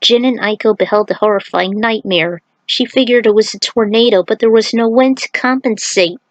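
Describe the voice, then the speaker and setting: A woman with a fairly high voice narrates a fantasy battle story. The delivery is scripted and dramatic, and the sound is dry and close. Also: moderate pace, no echo. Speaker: narrator reading fan fiction aloud.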